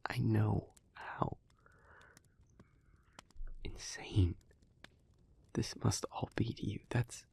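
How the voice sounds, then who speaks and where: A man playing a gentle, solemn, remorseful demon in an intimate audio roleplay, speaking to the listener in a breathy, halting, earnest near-whisper. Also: lowish voice, exaggerated voice. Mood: apologetic, earnest. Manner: slow, halting, earnest, with long pauses.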